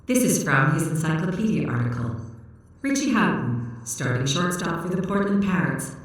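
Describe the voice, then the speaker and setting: A woman voicing an emotionless, literal-minded sci-fi AI computer, flat and precise, in a narrated story. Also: lowish voice, normal speed, heavy reverb, far from the mic, close to an everyday voice. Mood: flat, informative.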